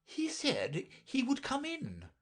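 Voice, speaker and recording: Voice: high-pitched, wildly swooping pitch, takes it slowly, gravelly, husky, voice pushed up, exaggerated voice. A reader voicing a flustered, rambling queen in a storybook audiobook, with a fluttery, anxious manner. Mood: fretful. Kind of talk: audiobook character voice.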